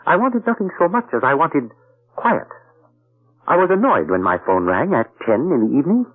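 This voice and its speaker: An actor playing a calm, courteous detective narrating his own case in an old radio drama. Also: a little high. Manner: polite, measured narration. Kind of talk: 1940s radio acting. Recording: thin, phone-like old radio recording.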